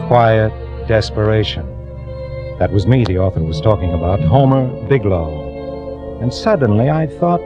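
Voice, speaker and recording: Fed-up little working man telling his story, old radio suspense, swingy pitch, music under, muffled sound. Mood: desperate, defiant. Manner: confiding, self-questioning first-person storytelling. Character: desperate, fed-up ordinary working man, Homer Bigelow. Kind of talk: 1940s radio drama narration. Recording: dull vintage radio recording, music behind.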